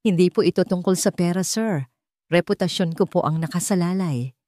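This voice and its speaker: A woman's voice: on the low side, moderate pace, darkish tone, no room sound, studio quality, close to an everyday voice. A woman with a Filipino accent voicing an anxious, deferential nanny in an audio story, polite but firm. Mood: apologetic, firm.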